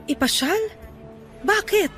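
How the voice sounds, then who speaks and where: A guarded middle-aged woman in a Filipino radio romance. Her voice is very high and moves up and down freely as she questions and protests in confusion. Faint music plays underneath. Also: animated, slow pace, fairly bright tone, full character voice. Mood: guarded surprise.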